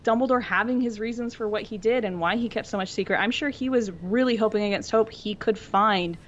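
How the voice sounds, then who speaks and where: A woman's voice: mid-range voice, brisk, a little hiss or hum. A woman co-hosting a podcast, casually discussing fiction in an earnest, thoughtful, informal way. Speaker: podcast co-host. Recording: dull lo-fi audio.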